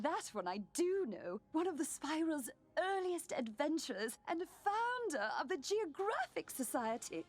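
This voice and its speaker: A woman playing a bookish, dramatic museum expert in a video game, theatrical. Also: high-pitched.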